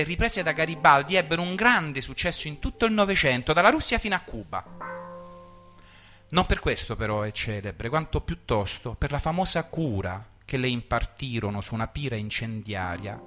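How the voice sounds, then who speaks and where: A man delivers a theatrical, ironic monologue. His voice is sharp and forceful, set a little high, with a wry deadpan delivery. Music plays behind him. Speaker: stage performer.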